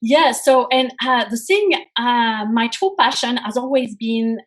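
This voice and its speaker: A woman's voice: on the high side, measured pace. A woman from France speaking English with a French accent, an earnest career coach telling her story in an interview. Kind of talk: podcast interview.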